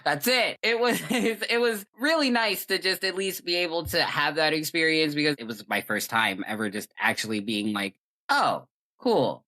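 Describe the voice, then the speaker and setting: A young adult chatting casually and telling personal stories in an animated, informal, emphatic way. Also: medium pitch, moderate pace.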